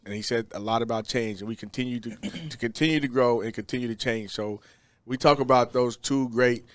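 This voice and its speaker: A man hosting a podcast, relaxed and quick to laugh as he leads the discussion. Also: mid-range voice. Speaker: podcast host. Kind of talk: casual discussion.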